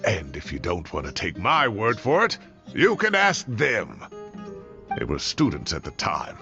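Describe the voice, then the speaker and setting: An old game-world academic, gravelly and blustering, with hugely swooping intonation and a bright, forceful edge. He sounds exasperated and grandly indignant, in a slightly muffled capture over faint music. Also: lowish voice, wildly swooping pitch, unhurried, full character voice. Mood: boastful. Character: elderly, indignant, theatrical former professor. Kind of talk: video game voice acting.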